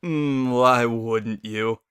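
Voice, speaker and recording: A man's voice: mid-range voice, drawn-out words, fairly bright tone, clear, resonant tone, no room sound, clean studio sound. A man voicing a smug, cheeky, tipsy aristocratic stallion in a dramatic story reading. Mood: smug, flirty. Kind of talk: dramatic fan fiction reading.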